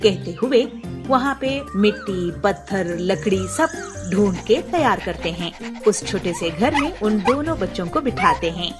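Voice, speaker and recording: A woman tells a children's tale in a mid-pitched voice with very animated intonation. She narrates as a storyteller for young listeners. Music is clearly heard behind her. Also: measured pace.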